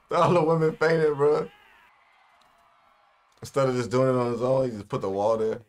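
A man's voice: medium pitch, steady tone, a little slow. A young American man giving casual, slangy reactions as co-host of a video. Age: young adult. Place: small indoor room.

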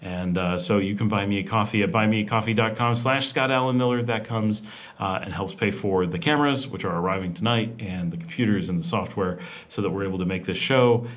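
Chatty man with a low voice, rambling. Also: a little fast. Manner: rambling, with many fillers and restarts; chatty and informal. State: rushed. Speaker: vlog host. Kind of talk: casual voice-over narration of a walking tour.

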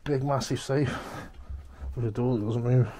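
A man's voice: mid-range voice, fairly even intonation, moderate pace, dark tone. A man with a Stoke-on-Trent English accent, giving casual vlog commentary as an urban explorer. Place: inside a derelict abandoned building.

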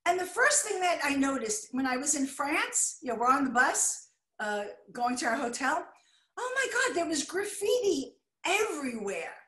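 An older American woman with a high voice gives an art lecture over a video call. She talks in a chatty, excitable way, breaking off now and then to fuss with her slides. The sound is roomy and echoey, like a computer mic in a bare room. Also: moderate pace. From United States.

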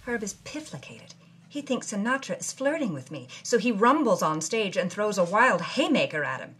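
Actress as wry, husky young starlet, animated pitch, drama narration. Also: female voice, normal speed. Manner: wry, dramatic storytelling with period slang. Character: brassy young actress narrating her journal. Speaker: lead character narrating from her journal. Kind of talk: scripted audio drama narration.

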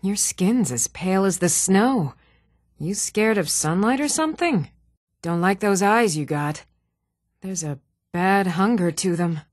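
A woman's voice: medium pitch, animated, a little slow, no room sound, studio quality. Plain-spoken fantasy village woman. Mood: conversational, firm. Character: plain-spoken, hardworking village woman, firm motherly townswoman. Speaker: video game voice actor. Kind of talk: scripted fantasy game dialogue.

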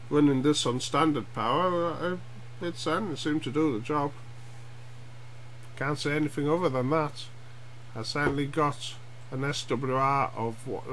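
A middle-aged man gives a casual hands-on demonstration, thinking aloud as he goes. His delivery is slow and halting, with a bright, forward edge to the voice. It sounds like amateur camera audio with faint noise behind it. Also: mid-range voice, poor audio. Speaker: hobbyist presenter demonstrating radio gear. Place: small room at home and back garden.

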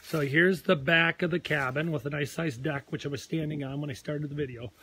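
A hoarse man in his forties with a Minnesotan accent, a real estate agent giving a rambling, earnest pep talk on a live tour, filmed outdoors by a lake on a phone held at arm's length. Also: fairly high pitch, fairly even intonation, fairly quick, bright, forceful tone. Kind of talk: live property tour vlog. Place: outdoors on a lakeside deck.

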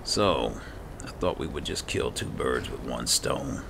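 A man talking in character in an unhurried, muttering way as he plays through a fantasy video game. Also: rumbling low, expressive. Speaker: gamer playing in character. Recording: clearly noisy, game ambience behind.

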